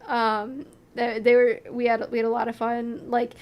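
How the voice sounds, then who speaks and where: A young woman co-hosting a casual music review chat, excitable and repeating herself, her voice going from illness. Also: on the high side, moderate pace. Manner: excitable, repeats words, lots of fillers. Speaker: co-host of music review show.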